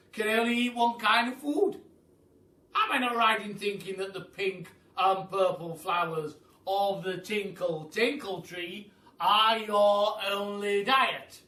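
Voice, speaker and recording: Guy doing a booming posh-mannered duke, pompous and generous, super forceful, echoey room. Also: slow pace, full character voice. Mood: hearty, knowing. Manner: booming, grand and exclamatory. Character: loud, pompous, generous old-fashioned aristocratic Duke. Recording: roomy echo, far from mic.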